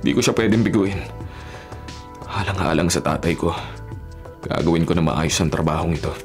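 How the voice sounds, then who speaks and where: A man's voice: low-pitched, normal speed. An actor playing a serious, dutiful young man, reluctant as a bodyguard, in an earnest, reserved radio drama style. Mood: frustrated, torn. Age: young adult. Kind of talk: Filipino radio drama acting. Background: background music.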